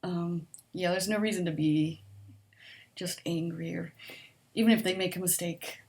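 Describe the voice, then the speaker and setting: A woman with a quiet voice and a mild stutter, answering survey questions in a hesitant, casual chat. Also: lowish voice, steady tone, moderate pace, vocal fry. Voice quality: soft-spoken with a mild stutter. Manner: hesitant, with frequent ums and likes, laughs softly.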